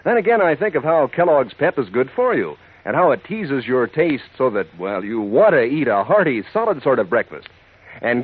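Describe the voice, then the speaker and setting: A man with a husky voice giving a chummy, folksy 1940s radio commercial pitch. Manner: chummy, addresses listeners as pals. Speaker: commercial announcer. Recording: thin, phone-like 1940s radio recording.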